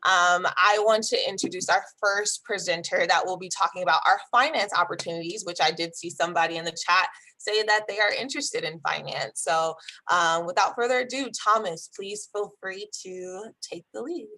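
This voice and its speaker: Bright-voiced woman hosting an online session. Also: on the low side, normal speed, rough recording. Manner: casual, hesitant with fillers. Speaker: career center host. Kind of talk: moderating an online info session. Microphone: video call.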